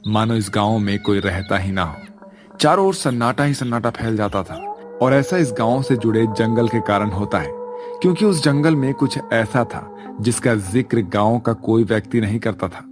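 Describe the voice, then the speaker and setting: A man's voice: fairly low pitch, animated, normal speed, soft background music. A man narrating a scripted spooky village story as its storyteller.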